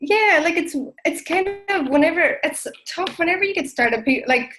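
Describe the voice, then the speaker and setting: A woman's voice: very high voice, animated, moderate pace, a bit bright. A young-sounding Irish woman, a podcast guest, chatting casually over a video call, full of likes and ums. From Ireland. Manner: hesitant, lots of likes and ums. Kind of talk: casual interview chat.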